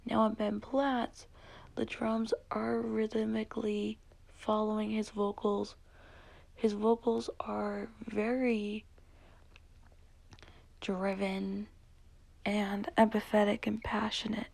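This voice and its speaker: A woman whispering softly as she comments on songs, hesitant and full of fillers. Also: medium pitch, flat delivery, takes it slowly, creaky, pauses often. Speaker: vlogger reviewing songs. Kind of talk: whispered ASMR-style music commentary.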